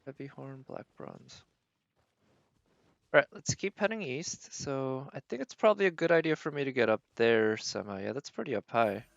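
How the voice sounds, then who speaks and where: A man's voice: mid-range voice, animated, normal speed, vocal fry, no echo, muffled recording. A man doing casual live gameplay commentary as a streamer, thinking aloud as he narrates his own decisions. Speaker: game streamer.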